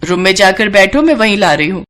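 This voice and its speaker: Woman playing a young Indian mother, mid-pitched, caring, harried, brisk, nagging, cartoon moral story. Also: lively intonation, a bit bright, natural voice. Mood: firm.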